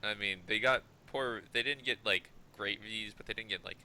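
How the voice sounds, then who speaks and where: A man co-hosting a podcast in casual discussion, halting and often correcting himself. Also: lowish voice, pushed and bright, rough recording. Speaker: podcast co-host.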